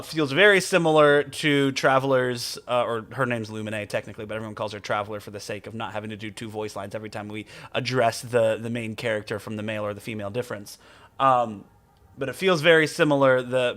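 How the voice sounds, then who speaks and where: A man with a bright, forward voice streams casual game commentary. He rambles freely, talks back to the characters and leans theatrical. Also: medium pitch. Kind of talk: casual running commentary over game dialogue.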